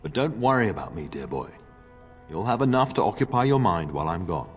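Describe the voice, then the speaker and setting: An actor playing a middle-aged noble lord and father in a video game, fond but grave, speaking in a formal, measured way. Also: deep voice, big pitch swings. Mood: fond, firm. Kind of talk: video game cutscene acting. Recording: long reverb, music underneath.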